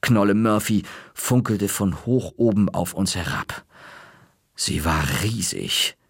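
Husky, gravelly male storyteller. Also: on the low side, no echo. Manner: measured, dry-humored storytelling. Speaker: narrator. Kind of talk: audiobook story narration.

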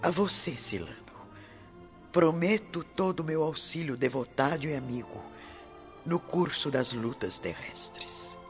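Tender spirit consoler for radio drama, male actor, earnest, muffled sound with soft music behind. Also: fairly high pitch, lively intonation, measured pace.

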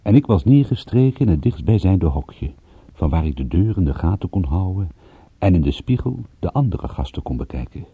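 A man's voice: low-pitched, sing-song, moderate pace, husky, dry recording, exaggerated voice. An actor playing a wry, observant male private detective who narrates and converses in a dry, sardonic radio-drama style. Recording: dull, muffled old radio-play recording.

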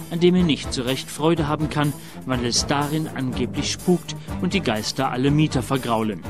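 A man announcing a radio play episode and recapping the story in a measured, formal way. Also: on the high side.

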